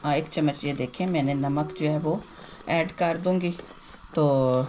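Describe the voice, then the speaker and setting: A woman with a sore, hoarse throat talks chattily through each cooking step, her voice sitting on the low side. Sizzling and frying from the pan come through clearly under her voice. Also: unhurried, noisy background. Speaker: cooking channel host. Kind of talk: home cooking demonstration. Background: frying and sizzling in a kitchen.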